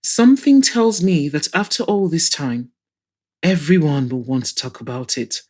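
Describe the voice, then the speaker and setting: A woman reading the part of a calm, supportive, practical man comforting a friend in an audio drama. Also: low voice, normal speed. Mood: reassuring.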